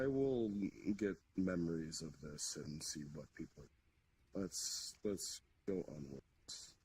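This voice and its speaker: A man playing a deep-voiced, courteous Demon King. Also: a little mellow. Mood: curious, hesitant. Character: newly awakened male Demon King, stately, commanding, courteous host. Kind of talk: improvised virtual-reality roleplay. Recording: clearly noisy amateur voice-chat audio.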